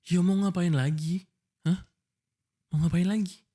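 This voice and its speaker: A man's voice: sits high, steady tone, measured pace, warm tone. A young man with an Indonesian accent, voicing a frustrated, pleading boyfriend in an ASMR roleplay.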